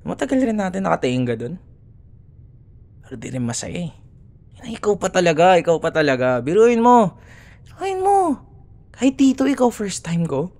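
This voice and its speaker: A man's voice: a little high, a little mellow. A young man with a Filipino accent acting a cocky, grudging student rival in an ASMR roleplay, teasing and sarcastic in casual banter. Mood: exasperated. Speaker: voice actor.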